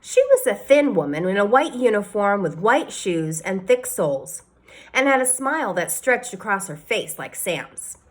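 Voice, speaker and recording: A woman teacher reading a children's novel aloud, expressive, sometimes speaking to her listeners. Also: medium pitch, normal speed.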